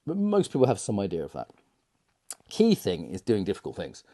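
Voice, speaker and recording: Give me a middle-aged man with a low, warm voice, musing loosely like a coach. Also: wildly swooping pitch, a little fast. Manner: rambling, reflective, frequent fillers and restarts. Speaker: confidence coach. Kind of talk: casual monologue to camera.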